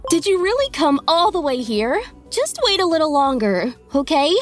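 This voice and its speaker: Outspoken young-family mom for manga dub, female actor: high voice, very expressive, scolding edge. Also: normal speed, soft background music. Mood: coaxing. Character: outspoken, indignant wife and mother defending her in-laws. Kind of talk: dubbed manga drama acting with narration.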